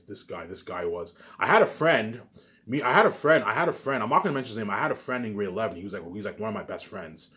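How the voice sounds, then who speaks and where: A man with a somewhat low voice talks very fast to his online viewers. He rambles and boasts, swearing freely and repeating his phrases, and his delivery jumps into louder bursts before settling back down. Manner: repetitive, restarting phrases over and over; profane, boastful ranting with sudden loud bursts.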